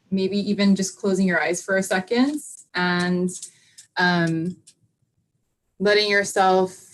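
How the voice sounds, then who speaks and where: A woman yoga teacher leading a live online class over a video call, guiding a reflection softly and unhurriedly with many fillers. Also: fairly low pitch.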